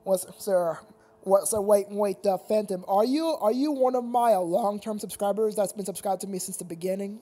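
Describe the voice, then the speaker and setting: Casual livestream host, medium-pitched voice, halting and repetitive delivery, talking directly to chat. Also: dark tone. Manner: halting, repetitive, addresses viewers as sir.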